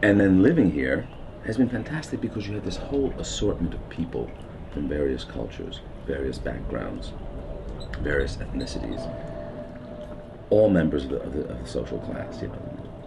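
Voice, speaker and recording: A man's voice: a bass voice, gravelly, background noise. A middle-aged man with a New York accent, reflecting at length in a documentary interview, musing and meandering with fillers and restarts. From United States. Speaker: documentary subject. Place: houseboat on a river.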